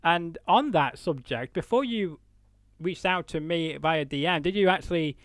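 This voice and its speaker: A man's voice: fairly high pitch, lively intonation, normal speed, no echo. A man hosting a live, unscripted interview show, chatting in a rambling, jokey way. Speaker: show host.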